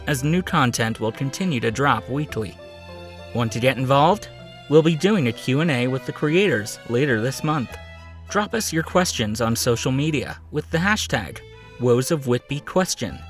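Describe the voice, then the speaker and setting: A man reading a scripted outro as the announcer of an audio drama podcast. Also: medium pitch. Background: music.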